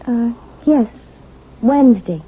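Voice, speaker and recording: Troubled wife, restrained and guarded, highish but husky, 1970s radio drama through a tinny speaker. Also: female voice, expressive, slow pace, exaggerated voice. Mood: entranced, compliant. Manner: restrained, dry, quiet. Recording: narrow, tinny old radio broadcast.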